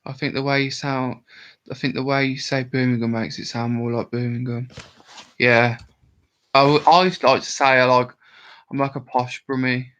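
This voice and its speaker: A young man with a West Midlands twang rambles through a livestream while drunk. He answers viewers' comments aloud in loose, chatty bursts. His voice is bright and forceful. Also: medium pitch, level tone, normal speed. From Worcestershire, England. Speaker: livestream host. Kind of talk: casual livestream chat with viewers.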